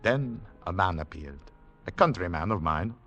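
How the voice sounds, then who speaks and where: An actor playing an earnest, weary government agent in a 1940s radio drama, speaking formally and deliberately, at times halting. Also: male voice, low-pitched, lively intonation, sharp tone. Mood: grave narration. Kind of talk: 1940s radio drama acting with first-person narration. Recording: 1940s radio broadcast recording.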